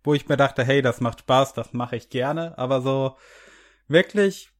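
A man's voice: mid-range voice, measured pace. A man co-hosting a podcast in casual conversation. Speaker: podcast co-host. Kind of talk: casual chat.

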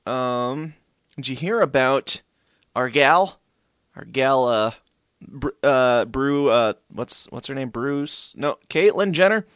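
A man's voice: mid-range voice, expressive, slow, fairly bright tone, clean tone, no echo, clean studio sound. A man co-hosting a satirical news podcast, reading articles aloud with frequent ums, restarts and laughing asides. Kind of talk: casual satirical news chat.

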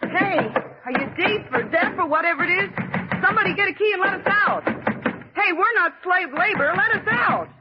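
A woman's voice: very high voice, lively intonation, moderate pace, very noisy. An actress playing a brash, sceptical young female typist in a 1940s radio thriller, wisecracking in slangy, emphatic, exasperated lines. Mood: yelling, indignant. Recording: dull vintage radio recording.

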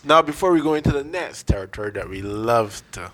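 Bajan man, radio host, upbeat, bright tense voice. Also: medium pitch, animated, brisk. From Barbados. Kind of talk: casual countdown chat.

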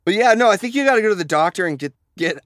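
A man's voice: high voice, animated, rapid-fire, a bit bright, dry recording. Stuffed-up man, dry close mic. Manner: rambling, laughs at his own setup. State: stuffed up from allergies. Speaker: podcast co-host. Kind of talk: casual comedic banter.

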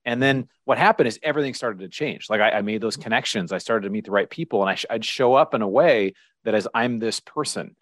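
A man's voice: fairly low pitch, fast talker, hardly any pauses, fairly bright tone, no room sound. A man in his forties hosting an interview podcast, sharing his own experience in a reflective, conversational way. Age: 40s. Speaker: podcast host. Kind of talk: casual interview chat.

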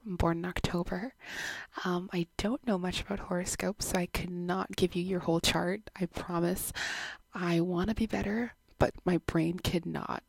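A woman's voice: fairly low pitch, steady tone, moderate pace, vocal fry, breathy edge, no echo. A woman in her twenties from Canada, hosting her own podcast in a chatty, giggly, self-deprecating way, recorded at home on her own microphone. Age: 20s. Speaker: podcast host. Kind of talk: casual chat.

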